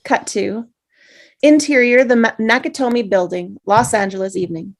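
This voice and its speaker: A woman narrating the stage directions in a table read, with steady, measured script reading. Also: fairly high pitch. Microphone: video call.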